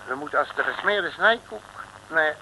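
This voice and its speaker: An actor playing a bumbling, boastful, excitable detective in a folksy, exclamatory 1960s radio comedy serial. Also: male voice, a little high, normal speed, exaggerated voice. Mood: grumbling, scheming. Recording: noisy old radio recording.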